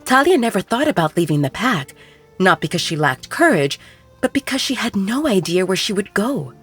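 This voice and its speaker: A woman reading a romance story aloud as a calm, even narrator. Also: mid-range voice, moderate pace, slightly rough, soft background music. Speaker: audiobook narrator.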